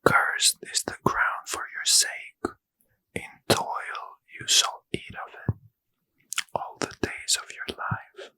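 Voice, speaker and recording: Guy whispering a bedtime scripture reading real slow, asmr style. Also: poor audio. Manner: soft unvoiced whisper throughout. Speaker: ASMR reader.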